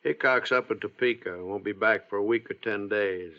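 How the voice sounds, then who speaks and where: An actor playing a smug, hostile Old West town constable in a radio drama, with a folksy, menacing way of talking. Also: male voice, fairly low pitch, lightly acted. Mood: challenging. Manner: folksy, drawn-out, veiled menace. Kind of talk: 1940s-50s western radio drama acting. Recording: muffled old-time radio recording.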